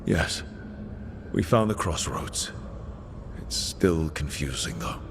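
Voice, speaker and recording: An actor playing a blunt, resolute hero in a fantasy video game, talking in terse, challenging, plain words. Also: male voice, extremely low pitch, lively intonation, slow, full character voice. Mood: firm. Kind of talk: dramatic video game acting. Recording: long reverb, clear background noise.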